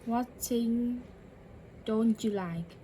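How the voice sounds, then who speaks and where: A woman practising English by repeating a dialogue line by line, slowly and carefully, with occasional self-corrections. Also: fairly high pitch, warm tone, slight background noise. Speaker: English learner.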